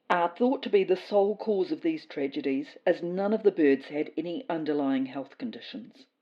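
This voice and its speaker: A woman playing a self-important female cat who delivers rules in a formal, measured official-briefing manner for a comedy podcast. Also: fairly low pitch, lo-fi sound. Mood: grave, urgent. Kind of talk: scripted comedy fiction podcast.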